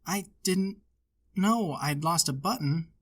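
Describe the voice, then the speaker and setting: A man's voice: a little high, takes it slowly. A man voicing a small, innocent stuffed bear in a storybook, speaking with earnest wonder. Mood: wistful. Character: small wistful wide-eyed stuffed toy bear.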